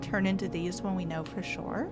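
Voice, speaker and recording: A woman's voice: fairly low pitch, steady tone, normal speed. A woman streamer casually thinking aloud while playing a game and reading text off the screen. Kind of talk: casual let's-play commentary. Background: game music.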